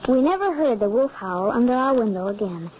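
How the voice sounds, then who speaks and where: A woman's voice: a little high, lively intonation, a little fast, natural voice. A woman playing an anxious young boy who narrates a grim family tale in earnest, dramatic old-time radio style. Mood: foreboding. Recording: muffled, noisy old radio recording.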